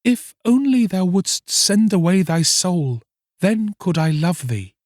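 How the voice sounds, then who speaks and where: Man voicing a wistful high-pitched little mermaid. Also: big pitch swings, slow pace, darkish tone, no room sound, studio quality, heavily acted. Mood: gentle, conditional. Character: wistful, gentle little mermaid, a young female sea creature.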